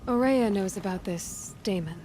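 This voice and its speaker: An actress playing a curious, dry-spoken young huntress in a video game. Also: female voice, mid-range voice, moderate pace, mellow, a little hiss or hum, close to an everyday voice. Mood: questioning.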